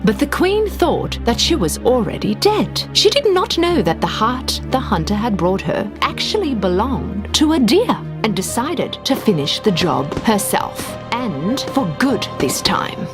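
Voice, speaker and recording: Female narrator for kids' fairy tales: fairly high voice, very animated and expressive, music underneath. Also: a little slow, few pauses. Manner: expressive storytelling. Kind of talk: scripted children's fairy tale narration.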